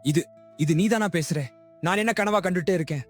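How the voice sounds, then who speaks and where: A man playing a kind, poor young village goatherd, earnest and emotional, in an animated moral story. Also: sits high, fairly quick, soft background music, close to an everyday voice. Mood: amazed.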